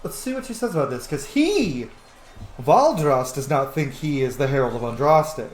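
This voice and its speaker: A man's voice: mid-range voice, big pitch swings, moderate pace, slight background noise. A man giving casual, rambling commentary while playing a roleplay game, chatty and full of fillers and asides. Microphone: camera setup at home. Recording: roomy echo.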